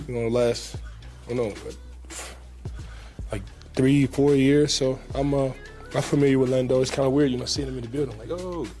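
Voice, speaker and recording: A young man speaks loosely into a table microphone in a team press room, circling back with fillers and repeated phrases. His voice sits at an ordinary male pitch, and a faint trace of music lies underneath. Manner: relaxed, casual, many fillers and repeats. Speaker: pro football player at a press conference. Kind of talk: press conference answers. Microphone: table mic in front.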